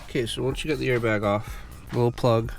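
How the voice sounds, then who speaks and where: A congested man hosting a car repair channel, giving a relaxed, casual how-to walkthrough full of fillers while working on a car. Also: mid-range voice, fairly quick, creaky, music in the background. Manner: relaxed, filler-heavy explaining.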